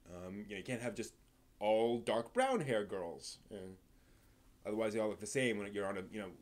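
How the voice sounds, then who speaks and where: A man with an American accent hosting a casual live stream, answering viewer questions in a halting, rambling, think-aloud way. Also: fairly low pitch. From East Coast of the United States.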